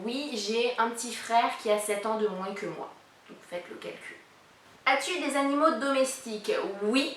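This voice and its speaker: A woman's voice: on the high side, a bit bright, a little hiss or hum. A woman in her thirties hosting a casual vlog, talking chattily with many fillers as she answers a tag's questions. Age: 30s. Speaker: YouTuber presenting a collab channel. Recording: echoey room, mic a bit far.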